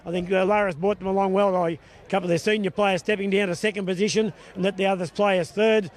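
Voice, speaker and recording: Fast-talking high-voiced man, plain-spoken. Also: steady tone. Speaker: bowls region organiser. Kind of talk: interview answers.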